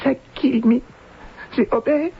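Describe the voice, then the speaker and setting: An actress playing a terrified, reluctant informant in a radio drama, halting and whimpering. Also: female voice, sits high, steady tone, husky, exaggerated voice. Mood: frightened. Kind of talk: vintage radio drama acting. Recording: dull, noisy old radio recording.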